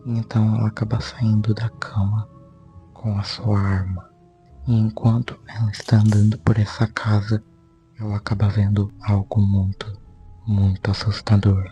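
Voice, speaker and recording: Man, Brazilian accent, dark soft-edged tone, even intonation, informal storytelling host, conversational, faint background music. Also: lowish voice, normal speed. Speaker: YouTube host. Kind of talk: informal story recap narration.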